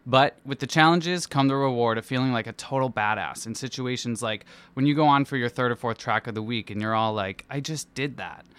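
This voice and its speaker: Man, smooth clear voice, mid pitch, personal essay read aloud, playful, self-deprecating, theatrical touch. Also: a little fast, hardly any pauses, fairly bright tone. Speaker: musical theatre performer and dance captain.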